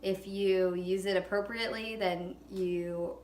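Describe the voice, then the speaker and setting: A woman's voice: mid-range voice, fairly even intonation, unhurried, a little mellow. A woman in her twenties giving reflective interview answers, hesitant and full of fillers. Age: 20s. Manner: hesitant, with many fillers and hedges. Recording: echoey room.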